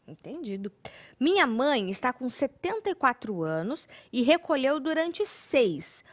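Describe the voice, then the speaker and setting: A Brazilian woman TV host reading viewers' questions out loud, adding playful comments. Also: high-pitched, unhurried. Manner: lively, playful asides when reading. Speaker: TV show host. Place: TV studio.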